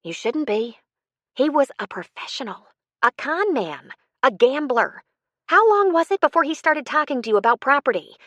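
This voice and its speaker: A woman's voice: high voice, big pitch swings, normal speed, a bit bright, no echo, voice pushed up. A woman voicing a frank, consoling older woman with an earnest, pleading, emphatic delivery in an audiobook story. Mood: indignant, consoling.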